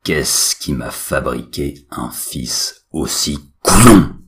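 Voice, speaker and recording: A man voicing God as an all-powerful, angry father, with a deep, firm, roaring voice in an audio story. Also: expressive, fairly bright tone, heavily acted. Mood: indignant. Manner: commanding, slow roaring delivery.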